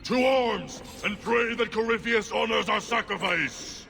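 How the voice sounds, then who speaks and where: Fantasy game zealot, heavy gravel in the voice, menacing, declamatory, long reverb over noisy ambience. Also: male voice, sits high, a little slow. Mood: battle cry. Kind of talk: dramatic fantasy game acting.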